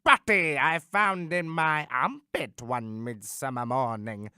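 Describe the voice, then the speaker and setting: Forceful, theatrical alien blowhard. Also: male voice, medium pitch, clear, resonant tone, no echo, studio quality. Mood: grandly self-satisfied. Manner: drawn-out, theatrical, forceful declaiming. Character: pompous alien poet and bully, grandly declaiming.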